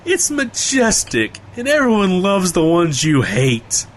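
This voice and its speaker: Actor as an easygoing, bemused guy, animated pitch. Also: slow, a little hiss or hum, heavily acted. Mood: bantering. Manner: casual, slangy, bemused. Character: easygoing, bantering friend explaining a strange town. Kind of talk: naturalistic comedy audio drama dialogue.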